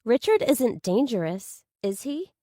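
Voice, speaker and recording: A woman's voice: fairly high pitch, big pitch swings, a little slow, warm tone, no echo, clean studio sound, pitched above the performer's own voice. A woman voicing a blunt, sarcastic, distraught sister who questions incredulously, in a thriller audiobook. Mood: alarmed.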